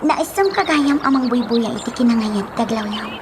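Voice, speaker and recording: High-voiced young Ilocano woman, lovelorn daughter played by an actress. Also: normal speed, noisy background, natural voice. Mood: tender. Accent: Filipino, Ilocano. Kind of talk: radio drama acting. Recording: loud background music.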